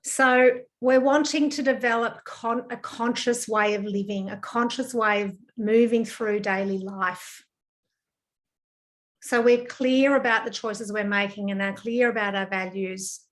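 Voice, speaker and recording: A woman, croaky from a sinus problem, talks to a group over a video call. She speaks gently and reassuringly, with frequent ums and small restarts, into a computer mic. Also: fairly high pitch, fairly even intonation, unhurried. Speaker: counsellor hosting an online group session.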